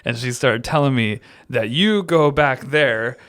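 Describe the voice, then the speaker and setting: An American man co-hosting a podcast, telling stories in an animated, amused, casual way. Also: medium pitch, a little slow. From United States. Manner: animated, amused, often laughing through his stories. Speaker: podcast co-host. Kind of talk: casual storytelling chat.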